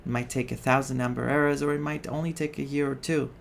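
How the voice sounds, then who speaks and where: A man streaming a story game, reading dialogue aloud and adding his own expressive reactions. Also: mid-range voice, quick pace, vocal fry, slight background noise. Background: game music.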